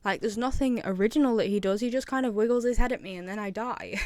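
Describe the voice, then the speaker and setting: A woman's voice: medium pitch, quick pace, a little mellow, dry recording. Girl doing casual fan ranking video, really enthusiastic, repeats herself, dry close mic. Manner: enthusiastic, repeats phrases for emphasis. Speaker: video presenter.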